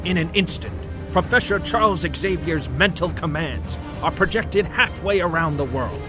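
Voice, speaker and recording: A man narrating a comic-book audio drama in a theatrical, florid and grandiose manner. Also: high-pitched.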